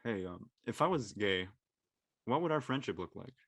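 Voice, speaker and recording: A man playing an earnest, nervous high school senior with a casual, slangy, hesitant delivery in an audio play. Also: expressive, normal speed, a bit bright, husky, no echo, exaggerated voice. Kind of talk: naturalistic dialogue in a live-streamed audio play.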